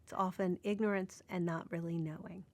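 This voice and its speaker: A woman giving an informal, explanatory lecture, pausing often as she thinks through her points. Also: fairly low pitch, fairly even intonation, noisy background.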